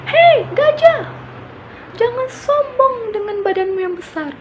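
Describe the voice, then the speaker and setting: Woman putting on a tiny angry ant, very high and shrill, loud, crying out; traffic noise behind. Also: lively intonation, slow pace, exaggerated voice. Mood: indignant. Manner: shrill, shouting, tearful. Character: tiny fierce ant defending its home. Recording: noisy background with passing traffic.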